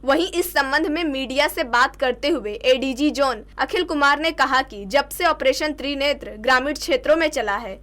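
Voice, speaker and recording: A woman's voice: high-pitched, fairly bright tone. A woman presenting scripted local news narration as a news presenter.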